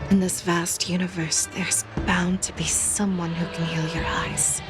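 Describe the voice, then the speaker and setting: An actress plays a proud female warrior general in a fantasy game. Her voice is lowish and unhurried, solemn and blunt, with music underneath. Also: little pitch movement, natural voice. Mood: solemn resolve. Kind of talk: video game character acting.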